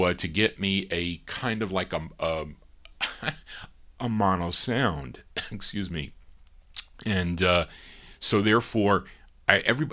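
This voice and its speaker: A man's voice: deep voice, bright, forceful tone. A man hosting a radio show from a studio, chatting casually between records in a rambling, hesitant way with many fillers. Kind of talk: casual on-air chat introducing records. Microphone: studio microphone through a mixer.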